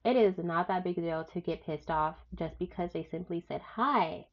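A young woman with a stuffed-up nose from a cold, giving blunt dating advice in a frank, animated way, with sudden loud rises and some swearing. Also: on the low side, normal speed, dull audio. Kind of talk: casual advice monologue.

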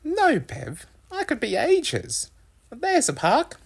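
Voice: sits high, wildly swooping pitch, slow pace, gravelly, slightly rough, pitched above the performer's own voice, heavily acted. A narrator voicing a cheerful, teasing mum in a storybook, playful and exclaiming, firm when cross. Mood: brisk.

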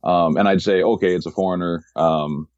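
A man with a very low voice tells stories of his real estate work as a podcast guest. He speaks in a measured way, often repeating words while he finds his phrasing. The sound is dry and a little dull. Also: fairly even intonation, a little mellow, clear, resonant tone, no echo. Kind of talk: casual interview storytelling.

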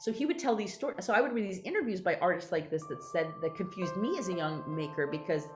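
A woman's voice: on the low side, fast talker, roomy sound, music in the background. A woman playwright being interviewed, talking candidly and animatedly and restarting her sentences often. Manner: animated, acts out quoted voices, many restarts. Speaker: playwright guest.

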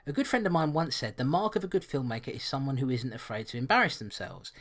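A man's voice: mid-range voice, fast talker. A man from co-hosting a podcast, chatting casually, joking and often laughing as he reads out a listener's email. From England, London. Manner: laughing, animated, self-deprecating banter. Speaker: podcast co-host.

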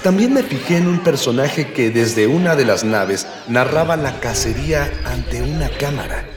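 An actor playing a storyteller who narrates an adventure in formal, ornate literary style for a radio drama. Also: male voice, mid-range voice, lively intonation, moderate pace, music in the background, natural voice. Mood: narrating. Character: male storyteller recounting an adventure in ornate language. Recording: echoey, reverberant, crowd noise behind.

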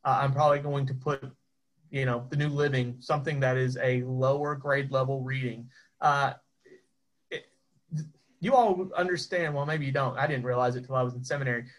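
A man teaching a Bible class in a relaxed, conversational way, with asides to his students. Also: mid-range voice, moderate pace. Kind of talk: informal teaching.

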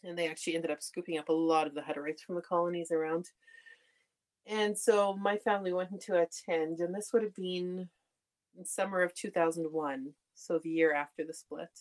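Young canadian woman chatting openly about her past, lowish voice. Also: rough recording. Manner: candid storytelling, laughs often. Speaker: podcast guest. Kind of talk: casual conversation.

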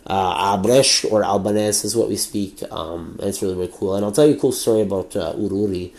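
Casual young guy rambling on his own podcast, swears a lot, sniffly from a cold, bit of a low voice. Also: brisk, a little mellow. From Montreal, Canada. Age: 20s. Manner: rambling, candid, swears freely, many fillers. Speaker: podcast host. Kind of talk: casual solo podcast monologue.

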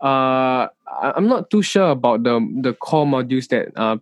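A young Singaporean man speaking as an interview guest, pausing and restarting his sentences as he works out his thoughts. His voice has an ordinary, mid pitch and is recorded dry, with no room sound around him. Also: lively intonation, no echo. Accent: Singaporean English. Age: young adult. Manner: hesitant, many restarts and fillers. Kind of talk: casual interview chat.

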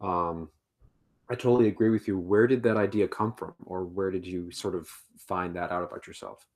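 A man's voice: low-pitched, steady tone, normal speed. A man co-hosting a podcast who asks easygoing follow-up questions and often answers with short agreements. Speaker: podcast co-host asking questions. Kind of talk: casual podcast interview.

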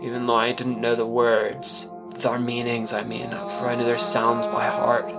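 A man's voice: mid-range voice, monotone, moderate pace, gravelly. A man performing a first-person audio drama monologue as narrator, in an awkward, earnest storytelling manner. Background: music.

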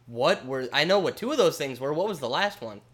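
A man co-hosting a casual podcast, explaining at length in an animated, rambling way with casual swearing. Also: a little high, a little fast. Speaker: podcast co-host. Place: home basement studio.

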